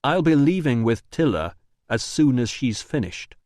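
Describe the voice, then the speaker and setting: A man voicing a calm, dry-witted male investigator in an audiobook, level and sardonic. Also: mid-range voice, unhurried, no echo. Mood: cool. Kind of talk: audiobook dialogue.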